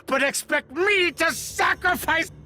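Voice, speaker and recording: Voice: very high-pitched, a little slow, pushed and bright, exaggerated voice. An actor playing an aging, grief-stricken master of disciples in a video game, bitterly defiant and theatrical. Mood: indignant, wounded. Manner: theatrical, impassioned, bitterly rhetorical. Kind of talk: dramatic video game acting.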